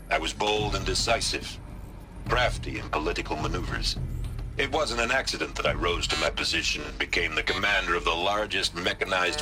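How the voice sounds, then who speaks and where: A man voicing a grave, remorseful general giving formal, sombre testimony in a video game. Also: low-pitched. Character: grave, remorseful male military general, once proud.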